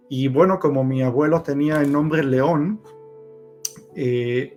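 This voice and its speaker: A man in his thirties from Israel, whose first language is Hebrew, telling his personal story in Spanish slowly and hesitantly with many fillers. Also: medium pitch, a little mellow. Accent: Israeli, Argentine-influenced Spanish. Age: 30s. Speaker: guest giving a testimony. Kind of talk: personal testimony.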